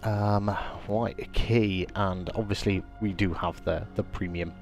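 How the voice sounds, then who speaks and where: A man talking casually through a live game stream, a bit stuffy from a cold, with a lowish voice. He chats easily and stops to greet viewers by name, with faint music playing softly behind him. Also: steady tone, unhurried. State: slightly congested with a cold.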